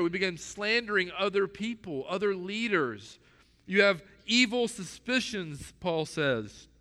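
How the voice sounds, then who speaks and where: Bright-voiced male preacher in an echoing hall. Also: sits high. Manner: earnest preaching, acts out quoted voices. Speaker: pastor. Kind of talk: sermon. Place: church hall. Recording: long echo of a large hall.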